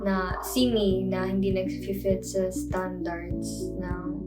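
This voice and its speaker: A woman's voice: medium pitch, completely flat delivery, slow pace. A teenage girl with a Filipino accent, answering interview questions and moving between English and Tagalog in a reflective way. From Philippines. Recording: music bed underneath.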